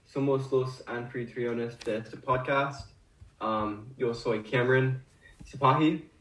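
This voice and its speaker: Male co-host, slow and hesitant, distant mic with roomy echo. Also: mid-range voice, steady tone, fairly bright tone. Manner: hesitant, learner-like Spanish with fillers. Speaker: podcast co-host. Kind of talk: scripted interview questions.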